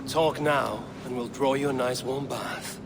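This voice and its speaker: An actor playing a stern, dry-witted Viking warrior in a video game, speaking in a measured, deadpan, commanding way. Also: male voice, mid-range voice, gravelly, noisy background. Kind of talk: video game cutscene acting.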